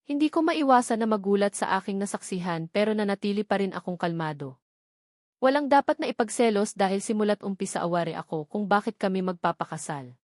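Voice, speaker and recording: Female audiobook narrator for first-person fiction, mid-range pitch, dry recording. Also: expressive, brisk, no echo.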